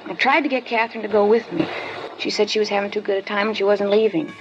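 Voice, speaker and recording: A woman playing a wary fifteen-year-old schoolgirl informant in a 1950s radio drama, guarded and halting. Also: mid-range voice, fairly even intonation, over music, natural voice. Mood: recounting. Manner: guarded, halting, evasive answers. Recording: dull lo-fi old radio recording, clear hiss.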